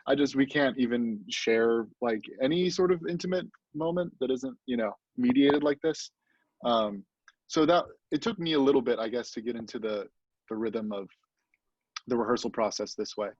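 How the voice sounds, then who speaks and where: A man with an average-pitched voice talks reflectively and a bit haltingly in a casual panel discussion. The video-call sound is close, dry and slightly dull. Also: a little mellow, no echo. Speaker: theatre actor and deviser, panelist.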